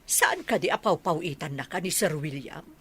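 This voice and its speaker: A woman's voice: sits low, lively intonation, normal speed, a bit bright, slightly rough, dry recording, heavily acted. A woman with an Ilocano accent playing an older housekeeper and mother in a comedy radio drama, scolding, exclaiming and pleading.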